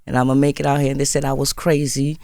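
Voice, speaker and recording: A young woman talks as a podcast guest, animated and ready to laugh. Her voice is smooth and clear, and the recording is close and dry. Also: extremely low pitch, very fast, dry recording. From Zimbabwe. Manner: lively storyteller, animated, laughs easily. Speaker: guest musician. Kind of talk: casual interview chat.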